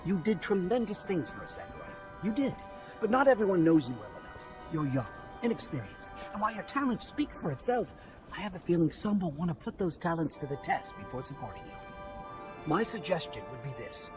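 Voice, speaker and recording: An actor playing an earnest, measured scholarly mentor in a sci-fi video game. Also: male voice, fairly high pitch, very animated, music in the background. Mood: reassuring, advising. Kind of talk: dramatic video game acting.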